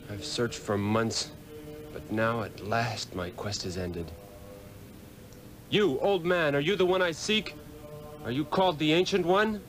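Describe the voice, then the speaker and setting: An actor playing a proud, desperate American surgeon in a theatrical, urgent 1960s radio drama manner. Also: male voice, on the high side, a little slow, pauses often, soft background music, close to an everyday voice. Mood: urgent, pleading.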